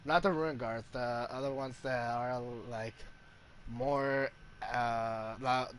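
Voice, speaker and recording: A man giving casual gameplay commentary as a streamer, halting and searching for words. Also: medium pitch, steady tone, slight background noise. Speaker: gaming streamer. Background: video game music.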